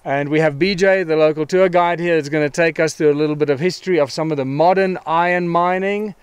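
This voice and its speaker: A man speaking informally as he introduces a site to a group, with deliberate, emphatic phrasing. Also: fairly high pitch, fairly even intonation, clear, resonant tone, no room sound.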